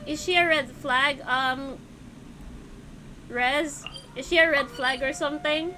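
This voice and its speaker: A woman's voice: high-pitched, steady tone, takes it slowly, low-quality recording. A woman with a Filipino accent chatting casually with viewers as she hosts a game livestream, switching between English and Tagalog. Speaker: livestream host playing a video game. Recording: game music playing loudly behind. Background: loud video game music.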